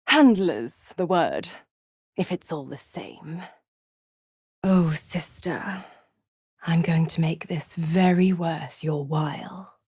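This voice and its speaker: A sly, mocking she-devil from a fantasy video game. Her delivery is unhurried and highly animated in pitch, purring and seductive, sinking into conspiratorial whispers. She is theatrical and condescending, captured in a close, dry recording. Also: dry recording. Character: sly, seductive half-devil woman, a smug, mocking schemer.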